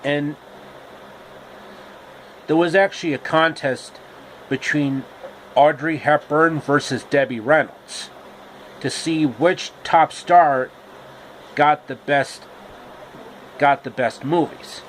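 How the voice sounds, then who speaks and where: A man narrating a homemade story with deliberate, halting phrasing. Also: on the high side, a little hiss or hum, low-quality recording.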